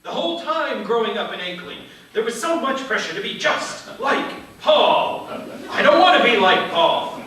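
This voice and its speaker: Casting: resentful little brother male actor, high-pitched, recorded far from mic, stage radio comedy. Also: sing-song, normal speed, sharp tone, heavily acted. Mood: aggrieved. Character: Billy, legendary giant's resentful younger brother. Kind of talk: live radio comedy theater. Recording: distant echoey theater.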